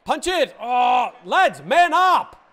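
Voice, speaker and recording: A young man with a hoarse voice, reacting excitedly and yelling while playing a football video game. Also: very high-pitched, slow pace. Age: young adult. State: hoarse, says he lost his voice. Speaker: gaming YouTuber. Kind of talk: reactive gameplay commentary. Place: indoor gaming room, seated in gaming chair. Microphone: headset, facecam.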